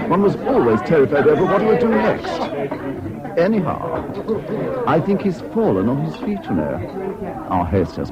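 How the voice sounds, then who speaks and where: A male actor playing a gushing, affected upper-class English society man in a radio play, with a theatrical drawl and arch emphasis. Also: mid-range voice. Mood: confiding. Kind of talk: 1970s British radio drama acting. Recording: dull old radio recording, busy background noise.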